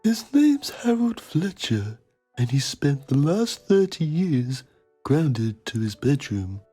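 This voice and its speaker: Man acting a husky, pompous documentary journalist. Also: on the high side, takes it slowly, soft background music, heavily acted. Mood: grave, deadpan. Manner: pompous, deadpan. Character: self-important male TV documentary journalist, solemn and pompous. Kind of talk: mock documentary narration.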